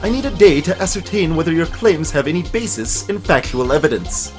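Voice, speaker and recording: A man puts on a haughty male prosecutor for a video game. He is formal, pompous and smug, with animated pitch in a lightly high voice and music playing behind. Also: a little fast, close to an everyday voice. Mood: insistent.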